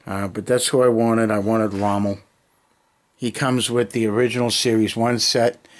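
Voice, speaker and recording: A man's voice: on the low side, little pitch movement, normal speed. An American man in his fifties chatting casually to camera in an unscripted way, thinking aloud in short muttered bursts in a basement hobby room. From United States. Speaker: hobby vlogger. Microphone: handheld camera near arm's length.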